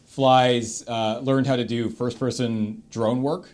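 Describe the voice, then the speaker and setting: A man's voice: mid-range voice, level tone, slow pace, darkish tone. A man with a Canadian accent, casually and enthusiastically giving recommendations as a panelist on a livestream.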